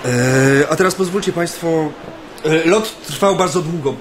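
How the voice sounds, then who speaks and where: A man's voice: fairly high pitch, a little hiss or hum, lightly acted. Earnest, weary male activist recounting memories as played by an actor. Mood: strained. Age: 30s. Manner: hesitant, halting when addressing people. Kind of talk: radio play acting, intimate first-person narration.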